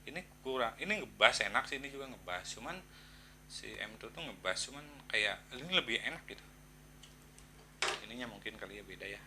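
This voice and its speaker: A man chats casually to viewers in a product review, halting and thinking aloud in slangy, informal speech. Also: medium pitch, expressive, bright, forceful tone, slight background noise.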